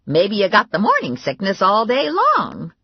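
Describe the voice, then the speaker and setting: A woman's voice: medium pitch, wildly swooping pitch, normal speed, slightly rough, no room sound, dull audio, pitched above the performer's own voice, heavily acted. A woman voicing a blunt, nosy older grandmother in an audiobook.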